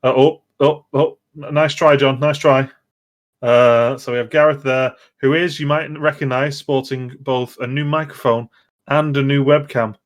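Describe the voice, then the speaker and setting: A man's voice: medium pitch, fairly even intonation, normal speed. A man hosting a casual gaming podcast, chatty and digressive, often interrupting himself as he goes. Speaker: podcast host.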